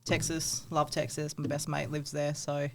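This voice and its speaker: A man's voice: fairly high pitch, little pitch movement, moderate pace, creaky, background noise. A man, a tattoo artist guest, chatting casually and swearing freely, laughing often. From Australia. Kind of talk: casual podcast chat.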